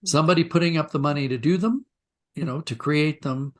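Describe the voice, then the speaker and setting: A man, a writer being interviewed, talking reflectively and haltingly as he rephrases his thoughts. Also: on the high side.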